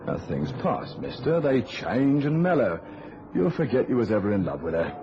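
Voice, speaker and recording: A man's voice: mid-range voice, sing-song, moderate pace, muffled recording. An actor playing a kindly, down-to-earth night-beat policeman with a folksy, coaxing manner in old-time radio style. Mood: kindly, reassuring. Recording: noisy, band-limited old radio recording.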